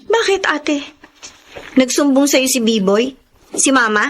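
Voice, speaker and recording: A high-voiced, wheedling Filipino little sister. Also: female voice, expressive, takes it slowly, a bit bright, a little hiss or hum, full character voice. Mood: defensive, huffy. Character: Denden, wheedling, touchy, irresponsible younger sister. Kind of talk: Filipino radio drama acting.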